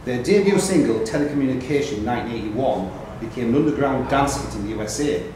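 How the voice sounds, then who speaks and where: A man's voice: medium pitch, animated, quick pace, noisy background. A man acting as quiz master in a pub, reading questions aloud and calling on players by name. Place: pub quiz room, indoors. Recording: very echoey pub room, clear chatter.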